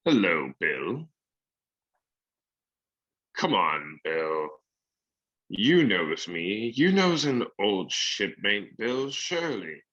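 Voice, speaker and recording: A man's voice: fairly low pitch, big pitch swings, extremely slow, pauses often, a bit bright, dull audio, exaggerated voice. A man playing a sly, menacing old pirate who coaxes in rustic seafaring talk for a radio drama. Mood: falsely friendly, menacing.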